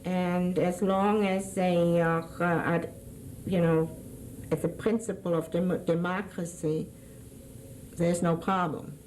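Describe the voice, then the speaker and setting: A woman in her sixties with a German accent speaks in a low, mellow voice. She is giving an oral history interview and often hesitates and hunts for the right word. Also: flat delivery, slow, a little hiss or hum, low-quality recording. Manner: hesitant, searching for words.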